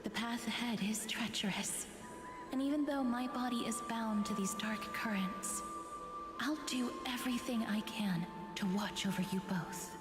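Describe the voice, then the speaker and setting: An actress voicing a gentle, wistful young woman in a dramatic video game, speaking softly, tenderly and solemnly. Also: a little high, moderate pace, close to an everyday voice. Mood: gentle resolve. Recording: echoey long reverb, music behind.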